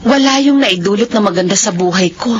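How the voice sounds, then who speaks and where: A woman's voice: medium pitch, animated, fairly quick, a little hiss or hum, lightly acted. A woman playing a tender, emotive seaman's wife in a Philippine radio soap, speaking with hesitant exclamations. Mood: happy, earnest. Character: Judith, tender seaman's wife with a regretful past. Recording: dull lo-fi radio sound.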